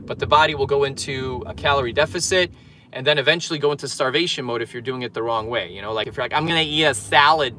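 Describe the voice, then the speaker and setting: A man's voice: mid-range voice, fast, sharp tone, a little hiss or hum. A man from Poland, a fitness coach, chatting casually on a livestream while driving and reading viewer comments aloud. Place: in a car in traffic.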